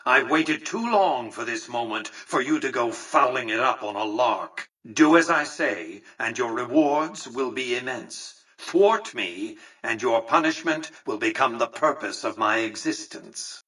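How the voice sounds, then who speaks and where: Old rich overlord for a video game, husky but sharp voice, pompous and condescending, bit far from the mic. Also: male voice, medium pitch, lively intonation, measured pace, few pauses, full character voice. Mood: cold menace. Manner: formal, pompous, precise diction. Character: imperious elderly male tycoon ruling a casino city, coldly calculating. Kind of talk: scripted game dialogue.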